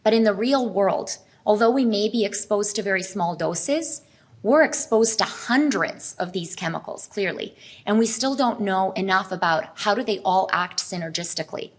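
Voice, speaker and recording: A middle-aged woman presents to a live audience, earnest and explanatory. Her pitch sits at an ordinary middle height for a woman, and she now and then turns to ask the crowd a question. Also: normal speed. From Serbia. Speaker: speaker at a health expo.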